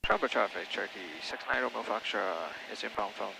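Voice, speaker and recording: A man's voice: on the low side, a little fast, vocal fry, background noise. A man who is a native Mandarin speaker, chatting casually and making radio calls as a pilot on a cockpit headset. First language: Mandarin Chinese. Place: small plane cockpit in flight. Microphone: aviation headset. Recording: cockpit intercom with music behind.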